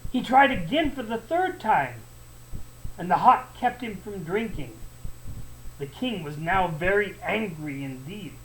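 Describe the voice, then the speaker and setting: A man narrating a folktale as a storyteller, in an expressive, deliberate and emphatic way. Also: high voice, poor audio. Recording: faint background noise.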